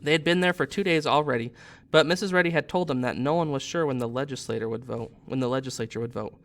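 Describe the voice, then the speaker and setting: A man in his thirties reads a story aloud as a teacher would. His narration is expressive and sits at a medium pitch. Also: quick pace.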